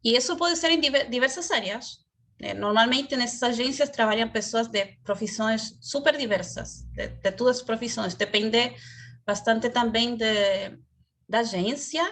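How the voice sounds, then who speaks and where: A woman speaking as a guest in a career webinar over a video call, explaining in a measured way and stopping now and then to search for words. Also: on the high side, a bit bright.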